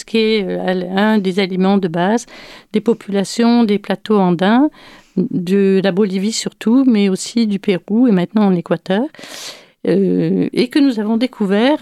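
A woman's voice: mid-range voice, warm tone, no room sound, studio quality. A woman speaking as a guest on a radio program, hesitating often with fillers while she explains things. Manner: hesitant, many pauses and fillers. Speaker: guest from an association. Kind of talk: radio interview.

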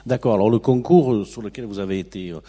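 A man interviewing an author, friendly and quick with short affirming interjections. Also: on the low side, mellow, slightly rough. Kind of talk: radio interview.

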